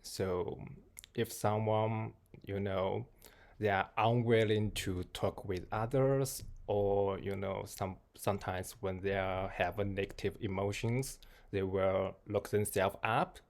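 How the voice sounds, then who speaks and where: Young male moderator, gravelly and unhurried, halting delivery of informal interview questions. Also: on the low side, fairly even intonation. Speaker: discussion moderator.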